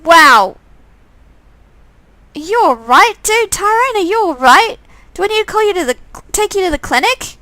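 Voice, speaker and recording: Excitable young game heroine, voiced by a woman, very high, bright, exclamatory. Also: normal speed, no echo, heavily acted. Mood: concerned.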